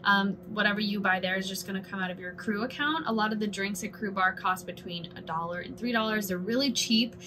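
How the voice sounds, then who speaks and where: A woman in her twenties, a cruise ship singer and dancer, talks casually to the camera from a small cabin bedroom, chatty and full of quick asides. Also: mid-range voice. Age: 20s. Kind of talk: casual vlog explainer. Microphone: camera in front at desk distance. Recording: noisy room with ambient hum.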